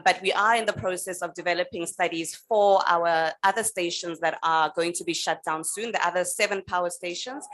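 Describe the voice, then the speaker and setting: Measured woman panelist. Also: lowish voice, fairly bright tone. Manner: measured, with frequent um fillers. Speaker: energy utility representative on a panel. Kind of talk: panel discussion answer.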